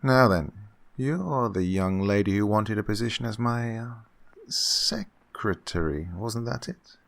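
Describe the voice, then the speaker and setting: An actor playing an unctuous, scheming gentleman employer who feigns kindly absent-mindedness, fussy and repetitive, in an old-time radio horror drama style. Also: fairly low pitch, a little slow, gravelly. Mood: oily, genial. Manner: fussy, hesitant, repeats himself, chuckling.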